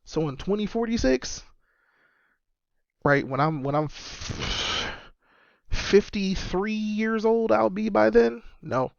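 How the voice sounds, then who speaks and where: A man's voice: high-pitched, takes it slowly, creaky, pauses often, dry recording, muffled recording. A man in his thirties hosting a casual solo sports podcast, talking emphatically and repeating his points for stress. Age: 30s. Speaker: podcast host and sports fan.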